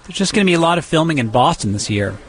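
A man narrating a radio news feature in a measured, conversational way. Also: fairly high pitch, big pitch swings, fairly bright tone. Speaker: radio reporter.

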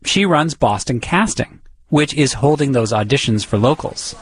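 A man narrating a radio news feature in a measured, conversational way. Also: medium pitch, animated, slightly rough, no echo. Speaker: radio reporter.

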